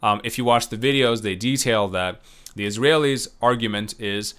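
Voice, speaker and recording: A male professor lecturing in a loose, chatty way, with lots of ums and restarts. His voice sits fairly low yet sounds bright and clean. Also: moderate pace, clean tone. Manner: loose, conversational, many fillers and restarts; unsure about names, self-correcting. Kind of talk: recorded lecture.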